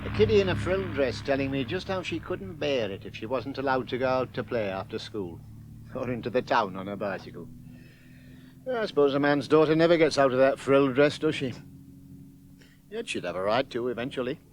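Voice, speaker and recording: An actor playing a gentle northern father. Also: medium pitch, lively intonation, close to an everyday voice. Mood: wistful. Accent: Northern English. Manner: gentle, slow, plainspoken. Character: gruff, caring older northern father. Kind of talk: 1970s radio drama. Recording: noisy background ambience.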